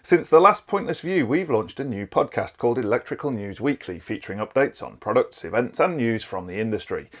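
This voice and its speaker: A man's voice: mid-range voice, animated, fairly quick, few pauses. A man reading a scripted comedy segment in a dry, sardonic way. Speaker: segment narrator. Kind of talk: scripted narration.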